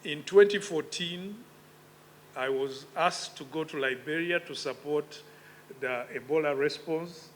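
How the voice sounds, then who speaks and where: A man, giving a measured, reflective conference speech with emphatic rises in his voice. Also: on the high side. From Uganda. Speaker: conference speaker. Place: conference hall.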